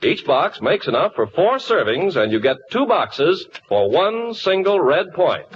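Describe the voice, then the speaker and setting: American male commercial announcer, 1940s radio, slightly high and very animated, muffled with faint hiss. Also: measured pace. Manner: upbeat sales pitch, emphatic. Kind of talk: 1940s radio advertisement read.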